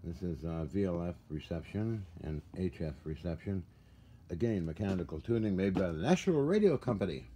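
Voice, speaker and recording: A man giving a casual, hands-on walkthrough of equipment in a halting, muttering way with folksy asides, recorded on a handheld device in a workshop. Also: low voice, animated, warm tone. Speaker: radio restorer. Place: indoor cluttered workshop. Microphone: handheld camera or phone, behind the lens. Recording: noisy handheld recording with room ambience.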